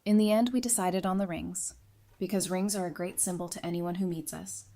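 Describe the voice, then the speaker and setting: Woman acting organized newlywed lady in an audio drama, lowish voice, earnest, wry, well spoken. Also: fairly even intonation, a little fast, mellow, close to an everyday voice.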